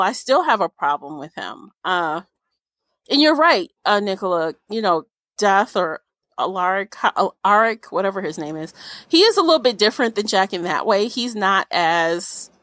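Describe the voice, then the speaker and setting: A woman's voice: mid-range voice, lively intonation, moderate pace, a bit bright, no echo. A woman discussing a book casually as a podcast co-host, blunt and opinionated, with informal language.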